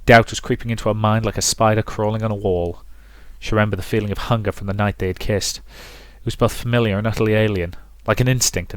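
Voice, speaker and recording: A man with a fairly low voice narrates a visual novel aloud in a storybook style. The recording is dry and close, with little room sound. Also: dry recording. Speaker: let's player reading a visual novel aloud.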